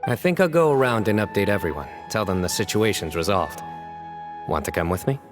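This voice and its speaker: An actor playing a stoic, terse young man who speaks calmly and briefly. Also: fairly low pitch, a little fast, over music, natural voice. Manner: terse, flat, calm.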